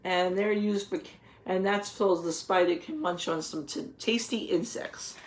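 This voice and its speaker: A woman reading a children's picture book aloud, pausing to explain things simply and put questions to the young listeners. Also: on the low side, steady tone. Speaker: read-aloud teacher.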